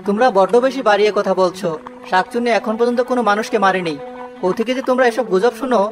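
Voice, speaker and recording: Man as practical cartoon fisherman, high-pitched, earnest, quick delivery, faint music behind. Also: natural voice. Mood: determined. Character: village fisherman, practical and determined. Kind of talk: animated story dialogue.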